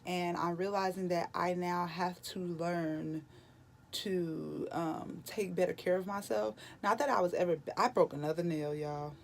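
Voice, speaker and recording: Raspy, gravelly-voiced woman in her late twenties. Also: fairly low pitch, fairly even intonation, measured pace, a little hiss or hum. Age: late 20s. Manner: chatty and candid, addressing viewers as y'all. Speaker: vlogger. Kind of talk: casual morning vlog chat. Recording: music playing behind.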